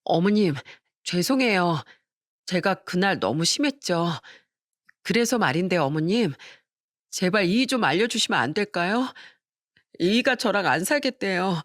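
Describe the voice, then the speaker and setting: A woman's voice: lowish voice, animated, unhurried, dry recording, clean studio sound. A woman voicing a desperate young daughter-in-law who pleads over her husband in a dramatized family story. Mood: pleading.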